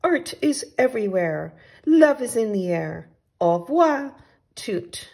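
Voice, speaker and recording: A woman reading as an adventurous, affectionate male storybook traveler, with exclamatory phrasing and drawn-out sign-offs. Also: fairly high pitch, very animated, vocal fry, darkish tone, slightly rough, exaggerated voice. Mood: eager, affectionate. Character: adventurous, affectionate storybook traveler writing postcards to his friend.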